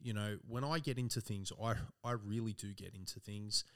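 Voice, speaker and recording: Aussie guy cohosting, lowish voice, earnest, lots of "you know". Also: steady tone, moderate pace, a bit bright, clean tone. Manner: earnest, says "team" and "you know" a lot. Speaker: podcast co-host. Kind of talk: casual chat.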